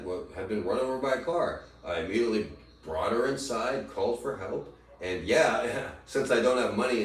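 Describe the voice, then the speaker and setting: Man ranting, echoey and far off. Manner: profane, taunting, mocks critics in shrill put-on voices. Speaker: livestreamer. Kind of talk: angry livestream rant. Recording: echoey room, voice from far off the mic.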